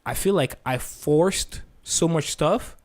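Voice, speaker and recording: Higher-pitched young man, animated chat. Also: slow pace. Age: 20s. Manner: animated, restarts often, quotes himself. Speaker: podcast co-host. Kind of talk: casual chat.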